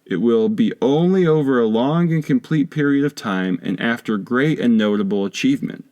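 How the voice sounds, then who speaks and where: A man narrating a philosophy audiobook in a measured, formal, lecturing manner. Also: mid-range voice, a little mellow. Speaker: audiobook reader. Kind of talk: scripted narration of a philosophy text.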